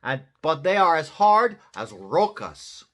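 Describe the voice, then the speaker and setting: A woman voicing small, cheeky, excitable male Chihuahua dogs in a storybook, brash and hollering. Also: sits low, slow, pitched above the performer's own voice, full character voice. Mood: breezy.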